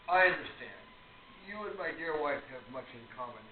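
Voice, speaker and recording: A man playing an affable, courteous gentleman past middle age, a kindly spiritualist in a radio play. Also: a little high, animated, brisk, close to an everyday voice. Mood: courteous, solicitous. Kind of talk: amateur radio play acting. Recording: very noisy, tinny, echoey distant recording.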